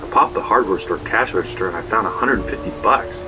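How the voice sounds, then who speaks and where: An actor playing a young slacker who narrates a grim trespassing tale in a casual, profane, matter-of-fact way. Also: male voice, lowish voice, quick pace, lightly acted, poor audio. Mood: brooding, hushed. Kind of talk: first-person audio drama narration. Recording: music bed behind the voice.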